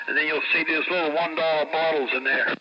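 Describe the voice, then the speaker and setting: A man's voice: a little high, steady tone, fast. An American man chatting casually over CB radio in a folksy manner, through a muffled, noisy transmission. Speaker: CB radio operator.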